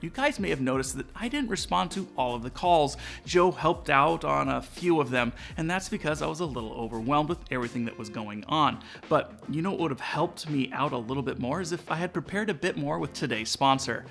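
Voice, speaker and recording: A man's voice: fairly high pitch, creaky, a bit bright. A man, giving a scripted, instructive explainer as a presenter. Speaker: presenter of flight tutorial. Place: small indoor studio set. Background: background music.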